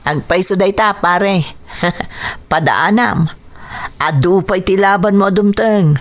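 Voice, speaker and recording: A woman's voice: medium pitch, measured pace, natural voice. Radio drama role: loyal young sidekick voiced by a woman, spirited, earnest, always cheering the fighter on. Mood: warm, proud. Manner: earnest, encouraging. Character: supportive, spirited young friend cheering on a boxer.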